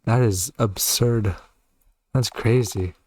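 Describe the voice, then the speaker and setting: A man's voice: lowish voice, slow, darkish tone, husky, no room sound. A man doing casual commentary as he plays through a game, given to gushing, amused asides. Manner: gushing over cute things, amused asides. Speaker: gamer, video host. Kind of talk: casual playthrough commentary, reading game text aloud.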